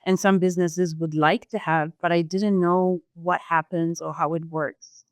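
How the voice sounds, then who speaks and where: A young woman from Zimbabwe, a sales employee answering interview questions in a thoughtful, measured way, with some fillers. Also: on the low side, level tone, no room sound.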